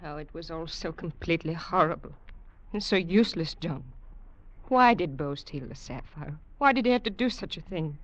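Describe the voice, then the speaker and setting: An actress playing a distraught, genteel older English aunt with formal, anguished delivery in a 1940s radio drama. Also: female voice, sits low, lively intonation, moderate pace, no echo. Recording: muffled 1940s radio recording.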